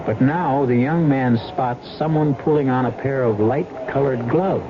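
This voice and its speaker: A man hosting a 1970s radio mystery with measured, dramatic scripted narration. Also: medium pitch, noisy background. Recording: dull 1970s broadcast with loud music.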